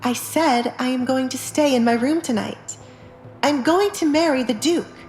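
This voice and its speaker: Defiant young noblewoman for audio drama, played by a woman: high, insistent, anxious, in an echoey space. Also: normal speed, a little mellow, natural voice. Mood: defiant, urgent. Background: music.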